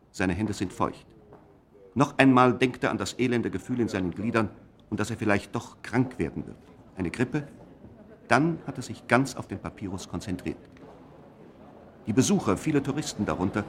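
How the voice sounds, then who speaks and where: A man narrating a scripted radio play in a measured, literary manner. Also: on the low side, slight background noise.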